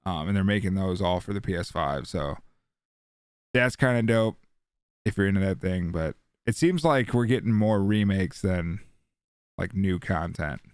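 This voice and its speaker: A low-pitched male podcast co-host talks casually about games. He is slangy and swears freely, and the sound is dry and free of room echo. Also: moderate pace, clean tone. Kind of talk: casual panel chat about video games.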